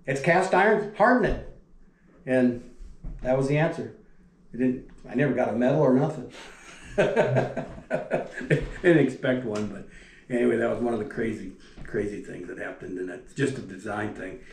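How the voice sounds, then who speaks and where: A man's voice: mid-range voice, normal speed, creaky, long pauses, slight background noise. Older man telling shop-floor stories. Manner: rambling, folksy, restarts and trails off quietly. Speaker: retired factory welder telling stories. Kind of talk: casual storytelling. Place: dining room at a wooden table. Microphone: camera across the table. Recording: echoey room.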